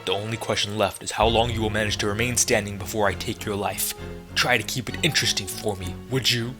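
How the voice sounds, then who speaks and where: A man's voice: on the low side, steady tone, brisk, lightly acted. A man reading out the lines of an arrogant, grandiose demon king villain from a video game, taunting his foe. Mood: gloating. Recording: game music behind the voice.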